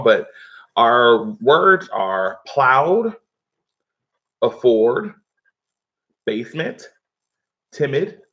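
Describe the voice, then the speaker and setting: A man teaching a live online reading lesson from his living room, speaking instructively and often checking in with his pupils. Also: medium pitch. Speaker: schoolteacher. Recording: dull, lo-fi livestream audio.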